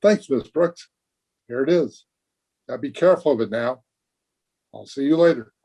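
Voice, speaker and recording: An actor playing an earnest, guileless teenage high school boy, chatting eagerly in an old-time radio comedy. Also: lively intonation, normal speed, mellow, breathy edge. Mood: coaxing. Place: remote recording over video call.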